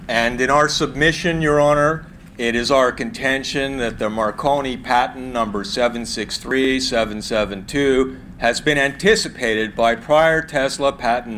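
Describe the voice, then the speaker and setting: A man's voice: mid-range voice, fairly bright tone, a little hiss or hum, lightly acted. A man reading a methodical lawyer's opening statement at a live script reading. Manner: formal, measured. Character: formal male courtroom lawyer, methodical. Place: gallery room with seated audience.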